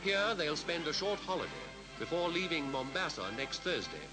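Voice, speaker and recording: A man with a British Received Pronunciation accent reading scripted 1950s newsreel commentary in a formal, measured announcer style. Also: fairly high pitch, big pitch swings, poor audio. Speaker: newsreel narrator. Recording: vintage 1950s newsreel soundtrack with music.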